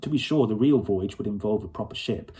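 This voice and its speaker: A man's voice: on the low side, brisk, warm tone. A man reading a storybook aloud as narrator. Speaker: audiobook reader.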